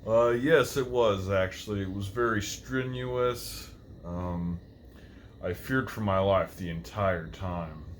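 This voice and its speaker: A man voicing an undead metal musician, a brooding but polite reaper giving earnest, measured interview answers. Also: fairly low pitch, slight background noise. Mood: candid, brooding. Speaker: talk show guest.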